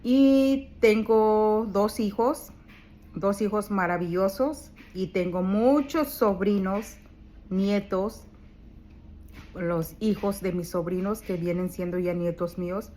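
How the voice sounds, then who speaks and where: A woman's voice: medium pitch, slow, mellow. Older Purépecha-accented woman. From Michoacán, Mexico. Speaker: vlogger. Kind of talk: casual home vlog. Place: home in California.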